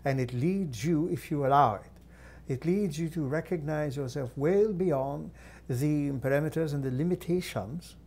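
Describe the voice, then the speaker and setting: A man channels a guide entity in a formal, unhurried teaching voice. His pitch sits a little high and his tone is mellow and dark. He breaks his phrases with long pauses and quiet hums. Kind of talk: slow deliberate channeled teaching.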